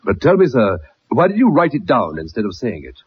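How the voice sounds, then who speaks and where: An actor playing a gruff older colonel, a proud father, in a radio drama, on an old radio recording. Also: medium pitch, huge pitch swings, a little fast, muffled recording, slight background noise. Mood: grave, resigned.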